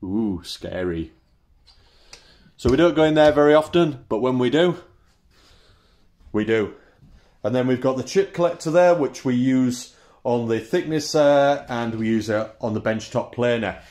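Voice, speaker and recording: A relaxed British man in his thirties walks through his small woodworking shop, listing tools in short, easy phrases. His voice sits at an ordinary middle pitch. It is picked up by the camera's own mic in a small indoor room. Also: unhurried, long pauses. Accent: British English. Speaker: woodworking channel host. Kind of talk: casual workshop tour.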